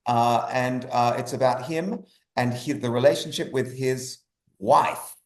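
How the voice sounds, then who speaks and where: Male playwright guest, medium pitch, enthusiastic halting conversational delivery, echoey room sound. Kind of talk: conversational interview talk. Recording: roomy echo.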